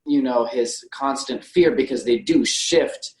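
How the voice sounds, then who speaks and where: A man's voice: medium pitch, measured pace. A man in a casual film discussion as podcast co-host, talking tentatively and correcting himself as he goes. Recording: roomy, echoey sound.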